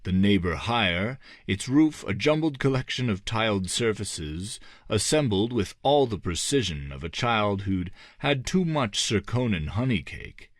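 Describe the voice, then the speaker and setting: A man reading an audiobook as dramatic scripted narration, in a measured, theatrical manner. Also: on the low side, big pitch swings, no room sound, studio quality. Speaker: audiobook reader.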